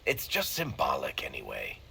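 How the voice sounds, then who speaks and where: An actor playing a wry, generous rogue in a video game, offhand and joking with a gravelly voice. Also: male voice, a bass voice, wildly swooping pitch, moderate pace, bright, forceful tone, slight background noise, exaggerated voice. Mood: offhand, amused. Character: wry, generous, casual rogue and loyal friend. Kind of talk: casual video game dialogue.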